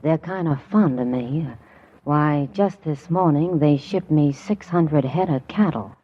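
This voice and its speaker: A man voicing a flirty cowgirl with deadpan wisecracks in a 1940s radio comedy. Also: fairly high pitch, measured pace. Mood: flirty, wry. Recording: dull, noisy old radio recording.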